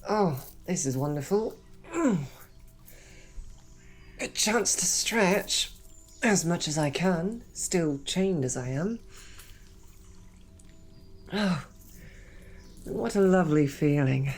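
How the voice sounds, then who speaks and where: A woman's voice: lowish voice, lively intonation, slow, long pauses, faint music behind. A woman voicing a captive young woman knight who talks in a dry, formal, wryly understated way, in an audio roleplay drama. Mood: dry, sardonic. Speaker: voice actor.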